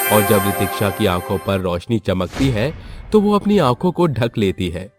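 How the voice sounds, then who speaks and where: A man narrating a scripted moral story for children. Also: on the low side. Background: background music.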